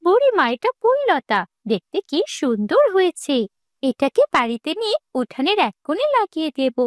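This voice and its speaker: A woman's voice: very high voice, very animated, moderate pace, no echo, studio quality. A woman voicing an eager, coaxing young village girl in a cartoon fairy tale.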